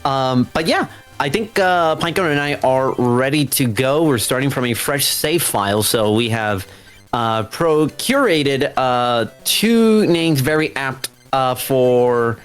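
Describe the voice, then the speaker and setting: A man's voice: medium pitch, lively intonation, slow, clear, resonant tone, faint music behind. A man who is competing in a speedrun, talking casually and live as he plays. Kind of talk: casual live talk while playing.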